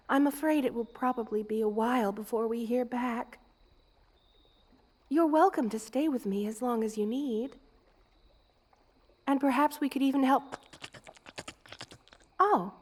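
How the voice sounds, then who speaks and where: Woman playing a high-voiced, unhurried motherly spider queen. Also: expressive. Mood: worried. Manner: tender, cooing, doting, with regal commands. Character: motherly spider queen, regal, gentle and protective. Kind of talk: ASMR roleplay acting.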